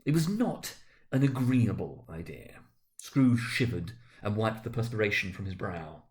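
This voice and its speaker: A man's voice: fairly low pitch, big pitch swings, measured pace. A man reading a classic story aloud as a storyteller, in dramatic, scripted narration.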